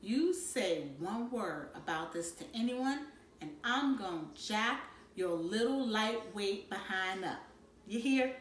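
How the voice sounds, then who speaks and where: A woman's voice: medium pitch, animated, takes it slowly, a little hiss or hum. Woman playing a cocky, slangy teenage boy in an echoey room. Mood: menacing, hushed. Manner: slangy, swaggering street talk. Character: cocky defiant scheming teenage boy, big brother bully.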